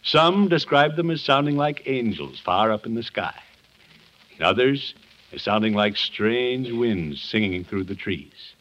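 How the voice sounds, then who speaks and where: An American actor playing a kindly, jovial middle-aged uncle in a 1950s radio comedy, with an avuncular, theatrical storyteller's delivery. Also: male voice, mid-range voice, lively intonation, a little slow, fairly bright tone. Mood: gentle storytelling. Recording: muffled old 1950s radio broadcast.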